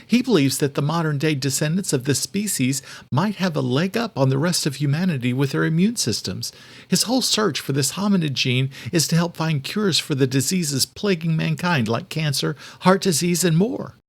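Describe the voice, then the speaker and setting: A man voicing an earnest, quick-thinking adult woman in an audiobook, explaining things insistently. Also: a little high, expressive, vocal fry, pitched above the performer's own voice. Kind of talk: audiobook character dialogue.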